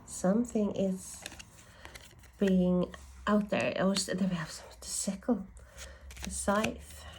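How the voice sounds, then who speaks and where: A woman's voice: mid-range voice, steady tone, takes it slowly, a little mellow, slight background noise, poor audio. A woman giving a casual tarot reading, sounding bunged up from a cold and musing aloud with frequent self-corrections. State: congested from a lingering cold. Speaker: tarot reader.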